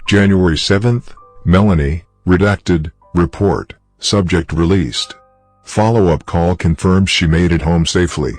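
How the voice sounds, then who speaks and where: A man narrating a scripted horror story as a series of clinical reports, in a formal and measured manner. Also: sits low, no room sound, soft background music.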